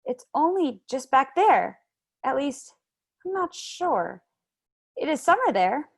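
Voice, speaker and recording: A woman reading aloud, voicing a curious, polite young girl who speaks in a bright, questioning way. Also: sits high, big pitch swings, slow, gravelly, pitched above the performer's own voice, exaggerated voice.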